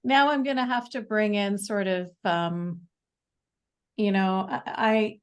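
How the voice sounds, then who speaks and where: A Canadian woman in her forties, a guest speaking in a casual interview, talking haltingly with many fillers and restarts. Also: medium pitch, fairly even intonation, clean tone. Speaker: podcast guest.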